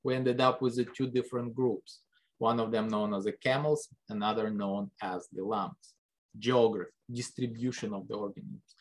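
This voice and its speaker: A male biology lecturer explains his points to the class. His delivery is conversational and full of rhetorical questions. His pitch leaps up on key words for emphasis. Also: moderate pace. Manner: lively pitch jumps for emphasis. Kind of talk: recorded classroom lecture over slides.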